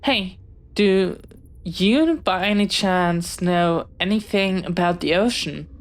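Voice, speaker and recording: A woman's voice: lowish voice, slow pace. A woman playing an eager, friendly, bookish young pirate in an audio roleplay, chatty and well-spoken with a slight hesitance. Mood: curious, friendly. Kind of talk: one-sided audio roleplay acting.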